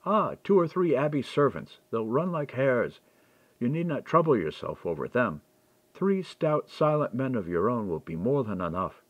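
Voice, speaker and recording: A man's voice: medium pitch, sing-song, moderate pace, no echo. Guy doing a sly medieval lady, silky and coaxing, voice lifting and swooping, audiobook, bit muffled. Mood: conspiratorial, reassuring. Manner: smooth, coaxing, persuasive. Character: silken, scheming medieval noblewoman coaxing her husband.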